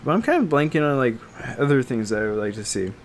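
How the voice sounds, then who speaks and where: A man co-hosting a casual podcast chat about games and films, talking in a halting, meandering way that trails off. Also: mid-range voice, very animated, a little hiss or hum. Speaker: podcast co-host.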